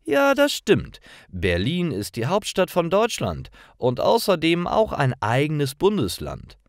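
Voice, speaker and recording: Man putting on high, cheerful young woman. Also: huge pitch swings, unhurried, no echo, studio quality, heavily acted. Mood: cheerful, eager. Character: Tine, cheerful young woman friend explaining things.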